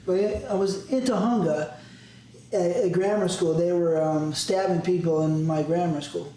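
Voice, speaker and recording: High-pitched guy cussing and laughing thru stories, super echoey room, faint hiss. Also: fairly even intonation, normal speed, warm tone. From Southern California. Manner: profane, laughing storyteller. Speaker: interviewer. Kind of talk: casual conversational interview.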